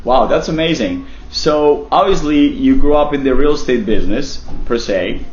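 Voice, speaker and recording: A man's voice: medium pitch, lively intonation, moderate pace, darkish tone, roomy sound, muffled recording. A man from Colombia interviewing a colleague in a casual, joking way, often repeating himself. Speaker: interviewer, real estate team leader.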